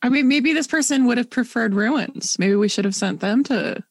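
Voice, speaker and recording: A woman joking in a deadpan way in casual podcast conversation. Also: fairly high pitch, normal speed, dry recording, studio quality. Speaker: podcast speaker. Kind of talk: casual chat.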